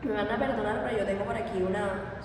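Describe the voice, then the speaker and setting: A woman's voice: medium pitch, fairly even intonation, fast talker. A woman in her late twenties from Venezuela, giving a hesitant, self-correcting personal account as an interviewee. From Venezuela, Margarita Island. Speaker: interviewee, university student. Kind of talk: informal personal recollection in an interview. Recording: very echoey hall, distant mic, noisy.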